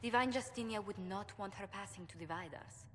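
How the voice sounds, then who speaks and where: An actress playing a poised, tactful court diplomat in a video game, speaking in a formal and measured way. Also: female voice, mid-range voice, natural voice. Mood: composed, tactful. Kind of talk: video game dialogue acting. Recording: long hall reverb, faint noise.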